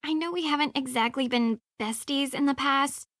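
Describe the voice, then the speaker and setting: A woman's voice: high voice, fairly bright tone, no echo, studio quality. A woman voicing a snooty, exasperated young rival in a video game, with petulant, slangy, dramatic delivery. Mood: grudging pleading. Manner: petulant, slangy, drawn-out emphasis.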